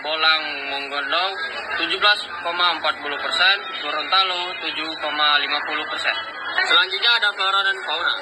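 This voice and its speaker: A man's voice: a little high, a little fast. A young male student giving a school slide presentation in a noisy amateur recording. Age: teenager or young adult.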